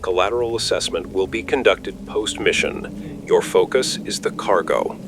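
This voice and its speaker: A man's voice: low voice, moderate pace, background noise, lightly acted. A man playing a cold, bureaucratic military mission controller, clipped, formal and impassive, giving orders over the radio. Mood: cold, firm. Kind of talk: dramatic radio-command acting.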